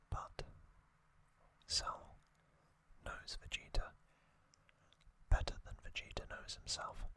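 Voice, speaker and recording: Man whispering slowly, ASMR host. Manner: hushed, halting delivery in short, drawn-out phrases. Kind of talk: whispered ASMR storytelling, trivia recap.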